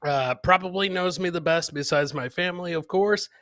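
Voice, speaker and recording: A man's voice: sits high, normal speed, fairly bright tone, dry recording, clean studio sound. A man hosting a live comedy podcast, casually commenting on clips and chatting with viewers in crude, joking slang, often repeating phrases for emphasis.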